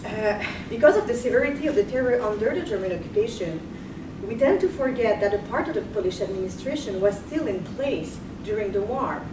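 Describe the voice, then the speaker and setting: A young woman giving a history lecture, partly read from notes, measured and earnest, stopping now and then to rephrase. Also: mid-range voice, expressive. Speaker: guest lecturer. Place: lecture room. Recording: echoey room, far mic, background noise.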